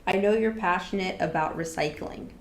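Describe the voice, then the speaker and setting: A woman's voice: lowish voice, normal speed. A woman podcast host asking friendly, affirming interview questions. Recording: echoey room with faint background noise.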